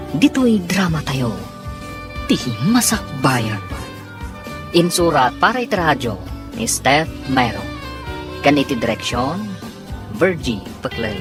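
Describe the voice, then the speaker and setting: A woman announcing a radio drama with scripted, theatrical, drawn-out delivery. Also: low voice. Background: music.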